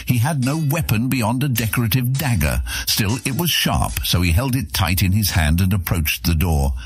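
A man narrating an epic fantasy audiobook in a dramatic, measured, suspenseful storytelling style. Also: on the low side, expressive, soft background music.

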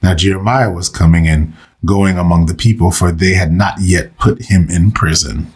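A man leading a slow, meditative scripture reading and prayer for listeners, saying he is under the weather and losing his voice. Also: deep voice, darkish tone, husky. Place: recorded for online listeners.

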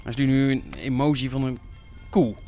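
An easygoing grown son played by a man in a radio drama. His voice is medium-pitched, the delivery casual and a bit halting, with faint music underneath. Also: dark tone, close to an everyday voice. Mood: matter-of-fact. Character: easygoing grown son keeping the family peace. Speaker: actor in an audio drama. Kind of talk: naturalistic radio play acting.

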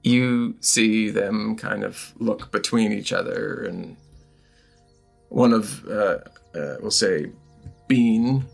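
A man runs a tabletop game as game master, improvising as he goes. His voice is mid-pitched and gravelly, his delivery slow and hesitant, with faint music behind. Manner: hesitant, searching for words.

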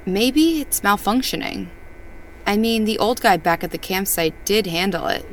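Actress as wry, skeptical woman, lively pitch. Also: normal speed, a little hiss or hum, close to an everyday voice, rough recording. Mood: doubtful. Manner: wry, questioning. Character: skeptical, wry female companion on a night search. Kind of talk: naturalistic audio drama dialogue.

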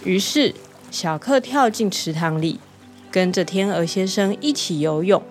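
Gentle female bedtime storyteller. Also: mid-range voice, a little slow, soft background music. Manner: gentle, storytelling with lively exclamations. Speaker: narrator. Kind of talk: children's bedtime story narration.

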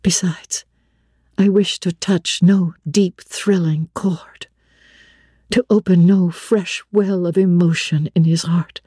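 A woman narrating classic literature in the first person, with measured, expressive, dramatic phrasing. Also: fairly low pitch, slightly rough, dry recording, studio quality.

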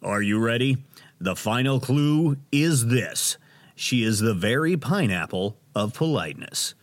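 An actor playing a theatrical, self-important radio host in an audio drama, grandiose and melodramatic. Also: male voice, mid-range voice, expressive, a little slow, lightly acted. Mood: showman grandeur. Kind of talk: audio drama acting, radio showman patter.

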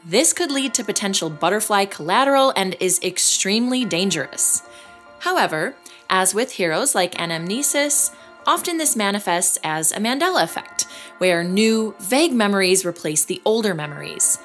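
A woman hosts and narrates an audio drama in a measured storytelling way. Her pitch moves a lot, rising and falling through each sentence. Faint music plays behind her. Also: expressive, fairly bright tone. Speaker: narrator and host. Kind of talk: scripted narration.

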